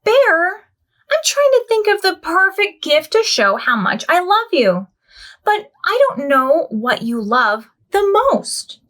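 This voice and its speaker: Storybook little girl, played by a woman reader: high, bouncy, eager, unhurried. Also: very animated, full character voice. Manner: bright, eager, sing-song exclamations. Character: cheerful, imaginative young girl.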